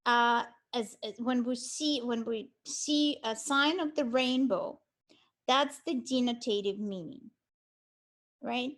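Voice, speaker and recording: A woman's voice: sits high, a bit bright. A middle-aged woman from Ukraine with a Russian accent, giving a university lecture with frequent hesitations and restarts. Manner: hesitant, many restarts and ums. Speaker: art history lecturer.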